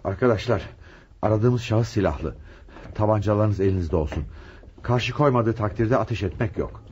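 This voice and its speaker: An actor playing a measured, authoritative and resigned chief police inspector in a radio drama. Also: male voice, on the low side, a little mellow, natural voice. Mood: businesslike. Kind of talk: Turkish radio drama acting. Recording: muffled, slightly noisy radio drama recording.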